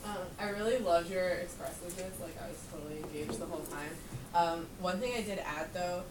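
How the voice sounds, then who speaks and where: Young woman, lowish voice, casual feedback, distant mic, clear background noise. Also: brisk. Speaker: trainee tutor giving peer feedback. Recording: noisy echoey room, distant mic.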